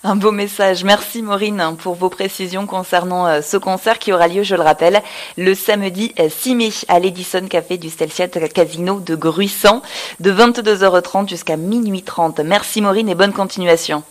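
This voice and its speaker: A woman radio host introducing and interviewing a guest in a clear, polished announcer's delivery. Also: mid-range voice, normal speed.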